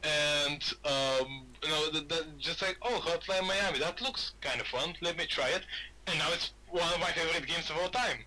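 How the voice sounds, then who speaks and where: A man's voice: a little high, moderate pace, pushed and bright, slight background noise, low-quality recording. A man from Bulgaria chatting casually on a gaming podcast, rambling and often repeating his words. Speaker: podcast host.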